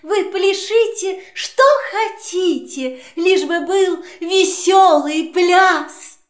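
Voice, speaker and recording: A woman's voice: extremely high pitch, extremely slow, echoey room, heavily acted. A woman voicing a genteel cat hostess in a children's audio play, polite and ladylike in rhymed lines. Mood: apologetic. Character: genteel cat hostess playing piano for guests.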